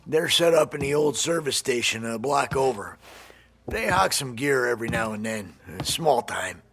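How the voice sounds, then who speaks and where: A man's voice: medium pitch, animated, moderate pace, creaky, fairly bright tone, slightly rough, exaggerated voice. A man playing a shifty small-time underworld informant in a game, clipped and slangy. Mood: businesslike. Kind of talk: game cutscene acting.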